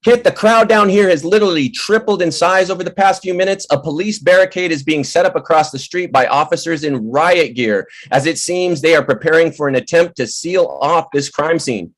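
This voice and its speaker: A man's voice: on the high side, brisk, hardly any pauses, close to an everyday voice. A man playing an urgent live field reporter. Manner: heightened, urgent reporting delivery.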